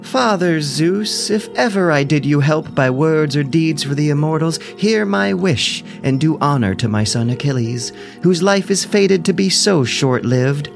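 Goddess mother, male performer: raised high pitch, pleading formal diction, ancient Greek epic audio drama over clear music. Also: animated, unhurried, few pauses. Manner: imploring, formal archaic diction. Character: pleading sea goddess mother, imploring Zeus for her son.

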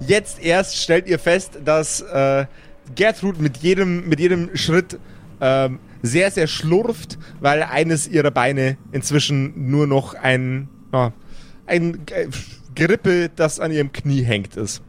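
Guy game mastering a tabletop story, halting delivery, slightly high voice, faint noise. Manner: halting, deliberate. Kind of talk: improvised tabletop game narration.

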